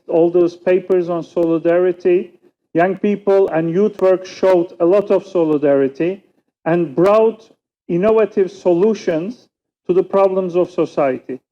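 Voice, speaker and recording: A man whose first language is Turkish, speaking with a Turkish accent as a panelist giving a measured, reflective conference talk with many pauses. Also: high-pitched, highs cut off. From Turkey.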